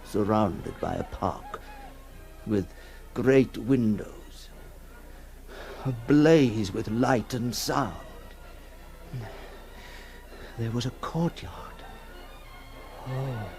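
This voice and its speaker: A man playing a tormented, awestruck figure emerging from long confinement in a theatrical 1960s radio horror monologue, halting and breathless, repeating his words. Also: medium pitch, lively intonation, vocal fry, darkish tone, soft background music, a faint crowd, heavily acted. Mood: dazzled wonder. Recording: noisy old 1960s radio recording.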